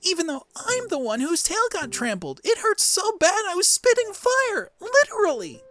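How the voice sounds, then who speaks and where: High, eager little fire lizard creature. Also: huge pitch swings, moderate pace, a bit bright, heavily acted. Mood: indignant.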